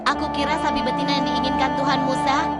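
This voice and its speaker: A woman's voice: high voice, level tone, fast, close to an everyday voice. A woman voicing an earnest young disciple. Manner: earnest, confiding. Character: young male disciple, secretly a believer. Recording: loud music underneath.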